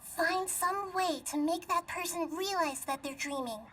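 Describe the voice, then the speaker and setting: A girlish little goddess from a game, high in pitch and measured in delivery. She sounds calm, gentle and wise, a bit away from the mic with faint noise behind. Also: far from the mic, exaggerated voice. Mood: calm explaining. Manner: measured, explanatory. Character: small young female deity, calm, wise and gentle. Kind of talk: video game cutscene acting.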